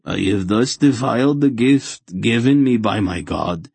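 A man's voice: mid-range voice, lively intonation, a little slow, creaky, a little mellow, slightly rough, no room sound, pitched above the performer's own voice, exaggerated voice. A man voicing a fervent, guilt-ridden religious fanatic in an audiobook, intoning and pleading. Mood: anguished confession. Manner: intoning, groaning, beseeching.

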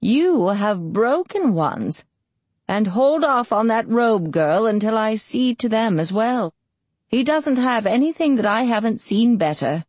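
An ancient village healer played by a woman narrator speaks in a quavering voice, lifted in pitch. She is bossy and teasing, a blunt old storybook woman who has seen it all. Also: lively intonation, unhurried, a little mellow, no room sound. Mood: brisk, bossy. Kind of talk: audiobook character voice.

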